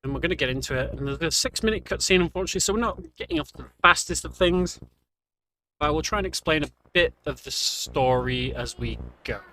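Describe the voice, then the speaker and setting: A man's voice: on the high side, fast, pushed and bright, slight background noise, poor audio. A man giving casual, chatty live commentary on a game he is speedrunning, explaining things as he goes. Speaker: speedrunner commentating his own run.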